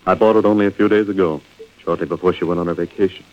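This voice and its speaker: An actor playing a nervous, evasive American husband hiding a crime in an old radio drama, stammering defensively on a muffled recording. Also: male voice, low-pitched, steady tone, very fast, lightly acted.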